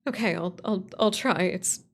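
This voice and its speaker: A woman playing a terrified lone camper phoning in for help in a horror radio play, stammering and halting. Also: medium pitch, slightly rough. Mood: shaken, recounting.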